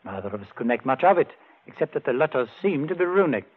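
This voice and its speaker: A man's voice: mid-range voice, sing-song, fast. An actor playing an earnest man set on avenging his brother, intense and emphatic in 1940s radio drama style. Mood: recounting, uneasy. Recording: muffled, scratchy vintage radio broadcast.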